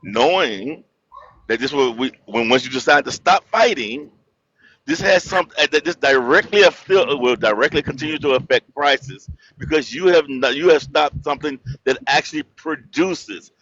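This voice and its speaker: A man calling in to a live chat, talking calmly at first and then growing loud and indignant as he argues. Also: mid-range voice, moderate pace. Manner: builds from calm to loud indignant rants. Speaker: caller. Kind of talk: heated casual debate. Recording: muffled dull audio.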